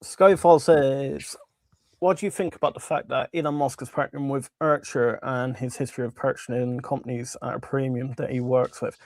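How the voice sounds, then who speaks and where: Creaky-voiced man hosting a livestream. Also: mid-range voice, steady tone, normal speed, a little mellow, no echo. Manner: informal, matey. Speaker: livestream host. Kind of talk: casual live chat, reading out viewer comments.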